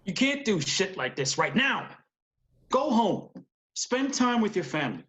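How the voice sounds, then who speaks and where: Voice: medium pitch, big pitch swings, a little slow, a bit bright. A performer playing an exasperated, stern colleague giving blunt, commanding orders in a screenplay table read.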